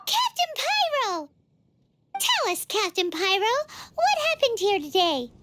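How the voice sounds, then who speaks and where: A woman voicing a tiny, childlike, cheeky fairy companion with a squeaky voice in a video game. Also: big pitch swings, unhurried, pushed and bright, full character voice. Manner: theatrical, refers to herself in third person.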